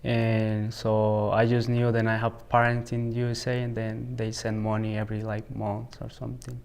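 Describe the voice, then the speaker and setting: Teen student, Salvadoran accent, halting and filler-heavy, flat delivery, clear but gravelly tone. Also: male voice, fairly low pitch. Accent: Salvadoran Spanish. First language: Spanish. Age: teens. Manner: halting, many fillers like "like" and "you know". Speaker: high school student. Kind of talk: interview answers. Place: school, indoors.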